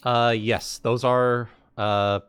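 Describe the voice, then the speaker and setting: A man casually narrating as game master of a tabletop roleplaying game, thinking aloud in a halting way. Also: medium pitch, little pitch movement, a bit bright, clean tone, no echo.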